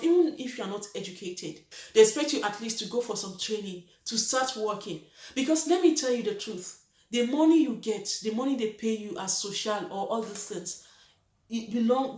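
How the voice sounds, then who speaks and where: A woman's voice: a little high, roomy sound. A Nigerian woman talking to camera in a vlog, earnest and emphatic, repeating her points. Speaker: vlogger. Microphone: reads from a list below the camera.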